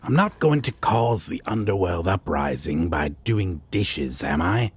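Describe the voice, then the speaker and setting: A man playing a sardonic devil roommate in a romantic audio roleplay, with a husky rasp, drawling and teasing but doting on his sweetheart. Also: low-pitched, expressive, a little slow, heavily acted. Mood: sardonic, taunting. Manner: taunting, drawling, playfully mocking.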